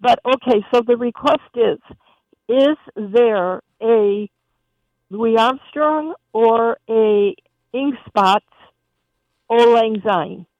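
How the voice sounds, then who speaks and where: A woman's voice: fairly high pitch, very slow, pauses often, a little mellow, no room sound. Woman caller, cheerful, rambling, dry close sound, muffled phone line. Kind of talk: phone-in request call.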